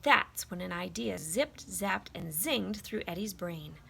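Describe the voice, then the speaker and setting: A woman reading a children's picture book aloud in an expressive, emphatic storytelling style. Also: fairly low pitch, slow, creaky, slightly rough.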